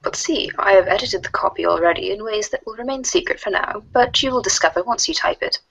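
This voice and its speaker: A woman plays a female alien troll with a husky, creaky, fairly low voice. She schemes in stiff, formal, wordy sentences, deliberately laid out as in a readers theater. The sound is dry and close. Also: fairly bright tone, no room sound, poor audio. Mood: smug, formal. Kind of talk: readers theater of web comic chat logs.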